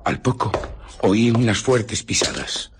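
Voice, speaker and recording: An actor playing an embittered invisible scientist in a radio drama, recounting furtive exploits with deliberate, dramatic pauses and a breathy voice that slips into whispers. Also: male voice, on the low side, fairly even intonation, noisy background. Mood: secretive, tense. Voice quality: husky, breathy voice slipping into whispers. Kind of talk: radio drama narration and dialogue.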